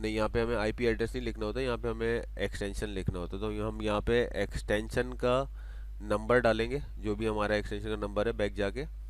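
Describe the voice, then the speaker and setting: A man presenting a casual software tutorial, talking through steps and dropping in English technical terms. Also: lowish voice, flat delivery, fairly quick, smooth. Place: voice-over for screen recording.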